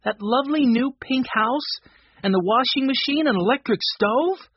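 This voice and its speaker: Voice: sits high, moderate pace, slightly rough, muffled recording, voice pushed up. A narrator voicing an earnest, kind young girl with emphatic phrasing in a children's storybook. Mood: dismayed.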